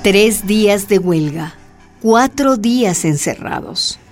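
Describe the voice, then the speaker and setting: A woman's voice: mid-range voice, animated, slow, darkish tone. A woman narrating a scripted radio drama. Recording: music and crowd sounds behind.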